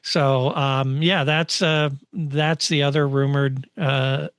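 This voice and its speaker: A man co-hosting a motorsport podcast in casual chat, often halting as he searches for words. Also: a little high, steady tone, a bit bright, smooth, no echo, clean studio sound. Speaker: podcast co-host discussing motorsport.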